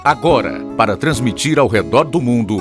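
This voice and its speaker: A man's voice: medium pitch, animated, moderate pace. A man with a Brazilian accent reading scripted narration as the announcer of a radio program. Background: guitar music.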